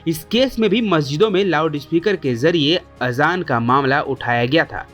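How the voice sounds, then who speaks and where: A man's voice: on the high side, fairly bright tone. A man presenting a scripted news explainer, formal in delivery and putting rhetorical questions to the viewers.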